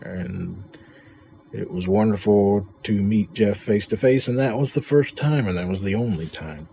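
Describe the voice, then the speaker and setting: Man, low mellow voice, reflective halting tribute, noisy recording. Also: vocal fry. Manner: halting, reflective, repeats himself. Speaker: friend and colleague paying tribute. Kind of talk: personal spoken tribute. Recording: noticeable background noise.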